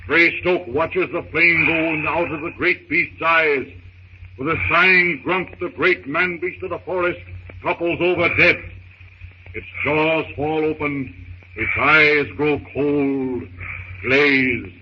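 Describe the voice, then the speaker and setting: A man's voice: on the high side, steady tone, slow. A man narrating a scripted old radio drama in a dramatic, suspenseful, declamatory manner, on a muffled, very noisy recording.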